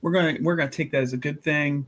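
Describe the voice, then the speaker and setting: Man playing a bright-voiced, self-important zealot scholar. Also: on the high side, fairly even intonation, fast talker. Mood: boastful, defiant. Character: self-important, zealous male scholar rallying his devoted student.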